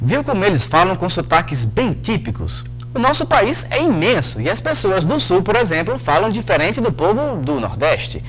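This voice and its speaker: A man's voice: sits high, huge pitch swings, normal speed, few pauses, fairly bright tone, soft background music. A man hosting a televised lesson, narrating a script in an upbeat way and talking straight to the viewer. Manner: upbeat, addresses the viewer directly.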